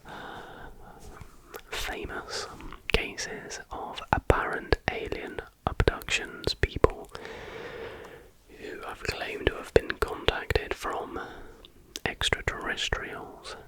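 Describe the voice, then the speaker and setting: Whispered ASMR, breathy, slow-paced, chatty, informal host. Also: poor audio. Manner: chatty, informal, joking asides.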